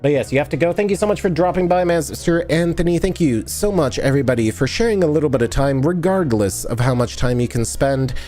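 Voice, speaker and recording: A man's voice: a little high, animated, fast talker, hardly any pauses, warm tone, soft background music. A man streaming live, still sniffly from a cold, chatting in a rambling way and answering viewers' comments as he goes. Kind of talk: casual live-stream chat while editing.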